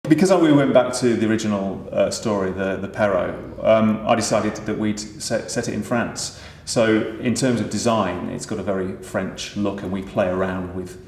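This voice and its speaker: A man's voice: on the low side, moderate pace, vocal fry, hardly any pauses, a little mellow. A man answering interview questions about pantomime, hesitant with many restarts. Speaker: pantomime writer and director. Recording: echoey room with faint noise.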